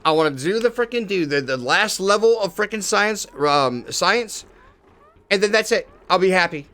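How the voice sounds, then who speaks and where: A man's voice: sits high, normal speed. A man live-streaming a game, chatting casually with viewers and swearing freely as he plays. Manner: excitable, swears casually, talks to chat.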